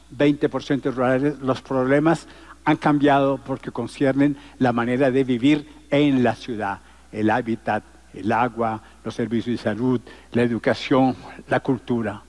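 A man speaks as a conference lecturer. His voice sits at a medium pitch. He addresses his listeners rhetorically, stressing points through repeated phrases and lists. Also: darkish tone. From Colombia. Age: 60s. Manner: emphatic, rhetorical, with repetitions and listing. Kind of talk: public lecture to a live audience.

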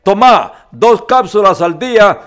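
High-voiced teasing co-host. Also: male voice, expressive, unhurried, a bit bright. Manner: teasing, reads ads. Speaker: sports radio co-host. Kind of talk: casual sports talk banter.

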